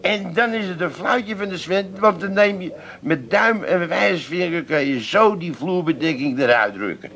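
An actor playing a jovial, crafty drinking pal, chatty and casually bossy, in a comedic radio play. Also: male voice, sits high, normal speed, hardly any pauses, a bit bright. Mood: breezily instructing. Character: jovial, crafty pub mate of the schemer.

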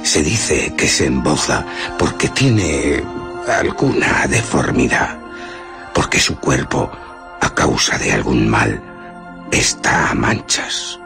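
Very deep, creaky novelist storyteller. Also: male voice, expressive, music in the background, heavily acted. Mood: mysterious, suspenseful. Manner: deliberate, dramatic pauses, teasing suspense. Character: H. G. Wells as a slow, theatrical male novelist storyteller. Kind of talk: radio drama narration.

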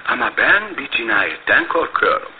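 An actor playing a shrewd, grave middle-aged detective in a radio drama, speaking in a deliberate, theatrical manner. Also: male voice, mid-range voice, very animated, over loud music, natural voice. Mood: grave, uneasy. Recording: phone-like lo-fi radio broadcast, faint noise.

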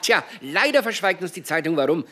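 A man's voice: a little high, big pitch swings, a little fast, exaggerated voice. An actor in a radio drama playing a glib, needling male TV talk show host with a showy, teasing delivery. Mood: gleeful, showy. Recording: loud show music, crowd noise.

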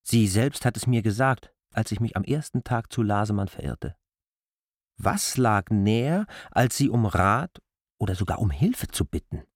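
An actor playing a defiant, striving outsider in a German radio play, arguing insistently in rhetorical questions. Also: male voice, fairly low pitch, expressive, normal speed, no room sound, clean studio sound. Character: defiant, striving male outsider pleading his case.

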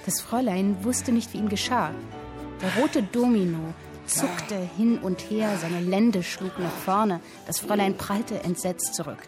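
A woman acting as storyteller, narrating a historical tale in a radio play with deliberate, vivid phrasing. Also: medium pitch, natural voice. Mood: vivid, dramatic. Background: music.

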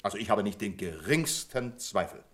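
An actor in a 1970s German radio play, playing a pompous, stubborn, sarcastic bank branch manager with a condescending manner. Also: male voice, fairly low pitch, lively intonation, normal speed, a bit bright. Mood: sarcastic, dismissive.

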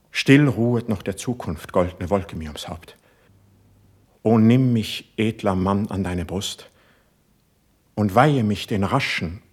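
A man's voice: deep voice, slightly rough, heavily acted. An actor playing an ardent, proud and sensitive young male court poet in a classical verse radio play, speaking in a fervent, lofty way. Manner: fervent, lofty, declamatory delivery.

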